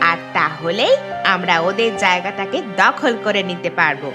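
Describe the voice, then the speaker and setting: A woman's voice: on the low side, lively intonation, normal speed, music in the background, natural voice. A woman voicing a spiteful, taunting village housewife in a cartoon, with mocking, sarcastic jibes. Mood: scheming. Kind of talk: cartoon voice acting.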